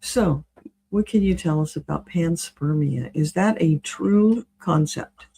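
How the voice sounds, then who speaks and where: Mellow, lower-voiced woman explaining. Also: takes it slowly, vocal fry, slight background noise. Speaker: spiritual reader, interview guest. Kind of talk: conversational explanatory channelled reading.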